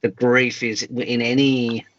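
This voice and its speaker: A man's voice: medium pitch, little pitch movement, slow, a bit bright, muffled recording. A man hosting a thoughtful conversational interview, musing tentatively and feeling his way through his phrases. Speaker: interviewer, podcast host.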